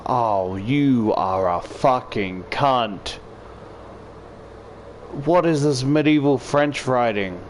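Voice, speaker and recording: A man's voice: medium pitch, slow pace, a little hiss or hum. A man reading a visual novel aloud as a gaming YouTuber, with casual, profane commentary and exclamations.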